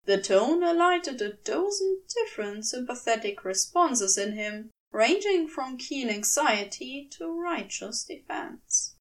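A woman reading a scripted story as narrator, in an expressive and deliberate storytelling manner. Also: high voice, far from the mic, low-quality recording. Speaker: podfic narrator.

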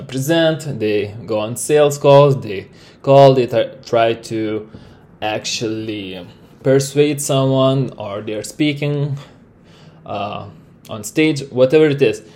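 Lithuanian man, non-native English, loose solo podcast ramble, mid-pitched. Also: a little mellow. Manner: rambling, many fillers and restarts. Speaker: podcast host.

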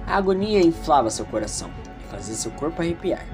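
Animated Brazilian man narrating over music. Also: on the high side, fast talker. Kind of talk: scripted audio drama narration with chatty asides.

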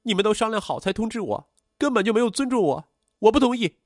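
Man voicing a high-pitched, headstrong young daughter. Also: lively intonation, quick pace, no room sound, studio quality. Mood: tearful outrage. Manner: insistent, high-strung, protesting. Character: young adult daughter, headstrong, emotional, afraid of abandonment. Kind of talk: acted dialogue in audio story narration.

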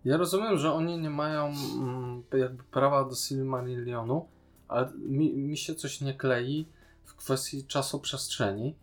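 A man's voice: medium pitch, unhurried, soft background music. A man co-hosting a podcast in casual conversation, explaining his opinions and asking questions. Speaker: podcast co-host. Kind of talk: casual chat, film and series discussion.

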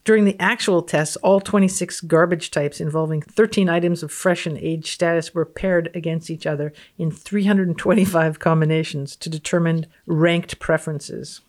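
A woman's voice: lowish voice, fairly even intonation, moderate pace, few pauses, darkish tone. A woman guest on a podcast, reading research aloud and commenting in a wry, matter-of-fact way. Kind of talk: reading research aloud with casual, unscripted reactions.

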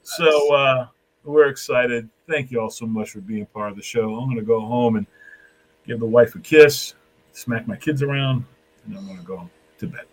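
A man's voice: mid-range voice, moderate pace, slight background noise, poor audio. A man hosting a talk show, effusive and folksy, thanking guests and viewers loosely. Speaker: show host.

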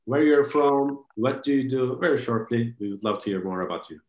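A man hosting a podcast interview over a video call, asking friendly, halting questions with restarts. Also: medium pitch, animated. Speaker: podcast host. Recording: roomy echo on call audio.

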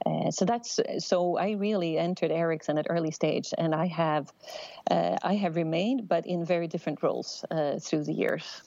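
A woman's voice: lowish voice, moderate pace, creaky, mellow, no room sound, muffled recording. A middle-aged woman from Sweden with a Swedish accent, giving a thoughtful interview answer with many fillers and restarts. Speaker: telecom executive guest. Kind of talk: conversational interview.

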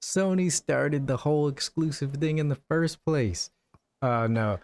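A man's voice: fairly high pitch, animated, measured pace, darkish tone. A man hosting a podcast, casually chatting and reading out entertainment news. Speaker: podcast host.

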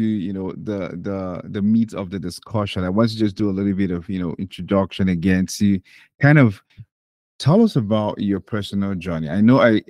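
A man's voice: fairly low pitch, darkish tone, dry recording, clean studio sound. A man hosting a podcast, introducing and questioning a guest in a formal manner. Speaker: podcast host.

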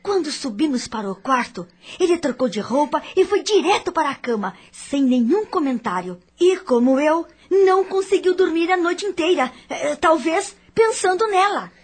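A woman's voice: high voice, expressive, moderate pace. A woman with a Brazilian accent playing a suspicious, jealous young wife in a radio soap opera, insistent and dramatic. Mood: jealous, troubled.

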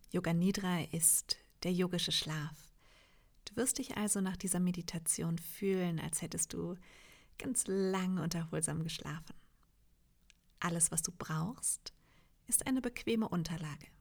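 A woman leading a guided meditation in a soft, half-whispered, soothing voice, leaving long pauses between phrases. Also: lowish voice. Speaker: yoga nidra meditation guide.

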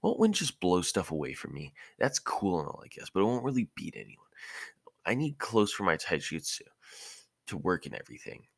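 A man's voice: low-pitched, huge pitch swings, normal speed, creaky, slightly rough, no echo, clean studio sound, exaggerated voice. A man voicing a brash, eager teenage ninja boy with a slangy, impatient manner, in a fan fiction audiobook. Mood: skeptical.

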